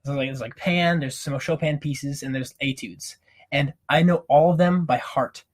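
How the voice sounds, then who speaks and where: Animated teenage boy hosting a podcast. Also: on the high side. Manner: animated, playfully teasing, quick bursts. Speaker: podcast host. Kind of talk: casual chat. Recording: amateur home podcast mic.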